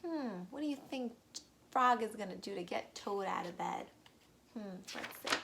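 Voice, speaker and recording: A woman reading a storybook aloud to young children, expressive and pausing to ask the listeners questions. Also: mid-range voice, creaky, a little hiss or hum.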